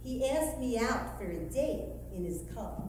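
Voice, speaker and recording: A woman plays an old lady in her seventies on stage, easily scandalized and reluctant to confide. Her voice is high and swings widely, with very slow, halting delivery. It rings through an echoey, noisy hall. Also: lively intonation, far from the mic. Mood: wistful reminiscing. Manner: halting, scandalized, emphatic, with asides. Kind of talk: theatrical stage monologue. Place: live stage performance at a university.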